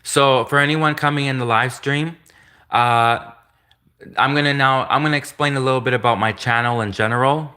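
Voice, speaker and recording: A man hosting a live stream, talking in a rambling, earnest way, often repeating himself, with a soft voice that some hear as gender-ambiguous. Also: medium pitch, fairly even intonation, moderate pace, clean tone. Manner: repetitive, earnest, restates points often; greets viewers and reads comments aloud.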